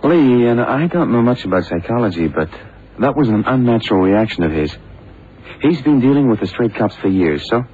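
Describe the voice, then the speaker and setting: An actor playing an earnest, analytical investigator's sidekick in a radio crime drama, with hesitant, dramatic emphasis. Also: male voice, medium pitch, big pitch swings, normal speed, a little mellow, natural voice. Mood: tentative. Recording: muffled, noisy vintage radio recording.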